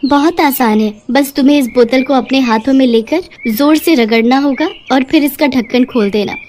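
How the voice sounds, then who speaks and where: A woman voicing a kind, grateful fairy in an animated moral story, speaking in an earnest, pleading and explanatory way. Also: sits high, normal speed, a little hiss or hum, close to an everyday voice.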